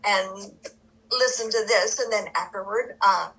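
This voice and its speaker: A woman's voice: mid-range voice, measured pace, pushed and bright, breathy edge, low-quality recording. A woman in the audience chatting on a video call in a friendly, talkative way. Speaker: audience member. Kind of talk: casual chat on a video call.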